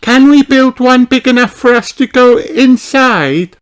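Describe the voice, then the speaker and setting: A storybook narrator voicing an excitable, sweet and eager young fairy princess who exclaims her lines. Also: high-pitched, unhurried, slight background noise, voice pushed up.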